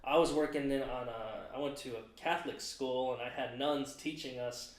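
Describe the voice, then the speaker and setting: A man's voice: medium pitch, fairly even intonation, moderate pace. Twenty-something guy hosting a podcast, rambly, lots of fillers, echoey room. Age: 20s. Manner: rambling, thinks aloud with many fillers. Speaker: podcast host. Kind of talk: casual chat. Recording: roomy echo.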